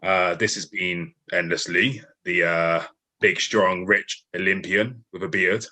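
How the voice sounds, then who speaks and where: A man's voice: deep voice, steady tone, measured pace, sharp tone. A man hosting a casual interview podcast, dry and exasperated with his guest. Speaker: podcast host.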